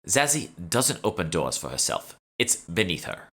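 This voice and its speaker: A man's voice: deep voice, big pitch swings, moderate pace, bright, forceful tone, breathy edge, exaggerated voice. A man voicing a haughty, condescending British woman who plays an obnoxious socialite in an audiobook. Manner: imperious, scornful.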